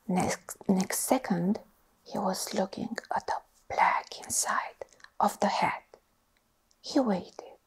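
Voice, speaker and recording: A woman narrating a storybook in a soft, breathy ASMR whisper. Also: medium pitch, low-quality recording.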